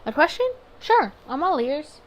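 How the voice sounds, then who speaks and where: A woman's voice: high voice, very animated, measured pace, muffled recording, a little hiss or hum, voice pushed up. A woman voicing a flustered, guarded male detective of the Prohibition era in a visual novel, hesitant and defensive. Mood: guarded, curious.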